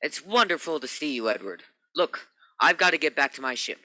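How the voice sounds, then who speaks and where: A man acting a bright, forceful rocket captain. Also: fairly high pitch, expressive, brisk, rough recording. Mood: amazed. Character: commanding male Navy rocket captain, middle-aged, emotional. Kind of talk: radio play acting.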